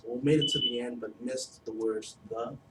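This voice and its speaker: A man explains the scoring rules in a measured, careful way and stops to correct himself mid-sentence. His mid-range voice rings around an echoey room from some way off the mic, with noise running behind it. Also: medium pitch, fairly even intonation. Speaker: staff trainer. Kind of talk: training session explanation. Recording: distant, echoey, noisy room.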